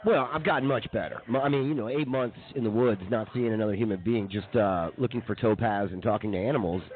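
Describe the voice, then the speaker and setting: A man's voice: on the low side, fast. A man co-hosting a comedy radio show in casual conversation, on a tinny phone-like stream.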